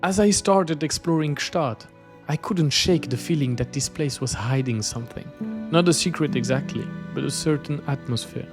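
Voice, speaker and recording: A man's voice: fairly high pitch, normal speed, over music. A man from French-speaking Switzerland, a native French speaker with a Swiss French accent, gives scripted, reflective travel narration as an artist presenting his journey. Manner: reflective, storytelling.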